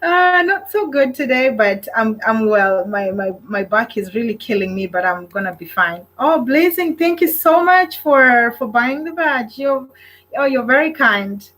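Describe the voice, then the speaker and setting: A woman's voice: on the high side, lively intonation, a little slow, a bit bright, rough recording. A middle-aged woman hosting a live online relationship panel, chatting casually and effusively as she welcomes and thanks people. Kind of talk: casual live-stream chat.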